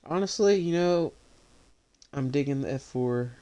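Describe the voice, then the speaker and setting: A man's voice: medium pitch, expressive, a little slow. A man doing casual, slangy live commentary as he streams a video game. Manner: slangy, swearing. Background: loud game music and vehicle noise.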